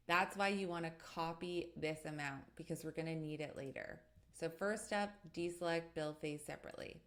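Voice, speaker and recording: A woman narrating a step-by-step software tutorial in a methodical, explanatory way as an instructor. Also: fairly low pitch.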